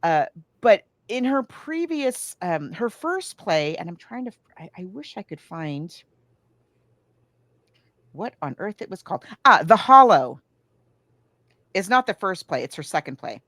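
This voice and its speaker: A woman's voice: medium pitch, unhurried, pauses often, no room sound. A woman co-hosting a podcast, chatting casually in a rambling storyteller's way and acting out quotes as she goes. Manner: rambling, animated storyteller who acts out quotes. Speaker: podcast co-host. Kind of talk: casual chat about books and films.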